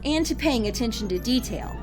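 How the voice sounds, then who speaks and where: A woman's voice: fairly high pitch, lively intonation, a little fast, over music, lightly acted. A woman playing a breezy, sarcastic leader who feigns ditzy remorse in a comic audio drama. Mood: flippant, sarcastic. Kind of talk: audio drama comedy acting.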